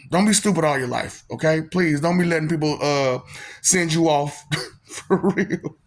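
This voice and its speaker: A man in his thirties speaks in a soft, polite-sounding voice, pitched a little higher than most men. He tells a personal story in a laid-back, slangy way, circling back with fillers and breaking into laughs along the way. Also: a little slow. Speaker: vlogger telling a personal story. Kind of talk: casual storytelling monologue.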